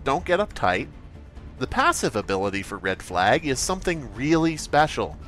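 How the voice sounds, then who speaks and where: A man acts out an earnest game developer giving a parody update. He is hesitant and sheepish, oversharing in an awkward, creepy way. His low-to-middle voice moves around in pitch, with faint music underneath. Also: very animated, normal speed, a bit bright.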